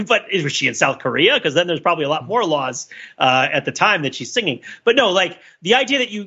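A man's voice: fairly high pitch, sharp tone, breathy edge, muffled recording. A man talking casually about pop culture as a podcast panelist, in a rambling, digressive and analytical way.

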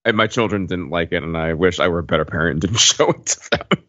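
A man's voice: deep voice, fast, fairly bright tone, no echo, dull audio. A man co-hosting a podcast in a casual, joking chat. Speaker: podcast co-host.

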